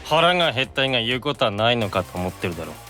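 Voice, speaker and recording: A man's voice: medium pitch, big pitch swings, fast, sharp tone, faint music behind. A man chatting casually as a radio guest, playful and often thinking aloud. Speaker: podcast guest and voice actor.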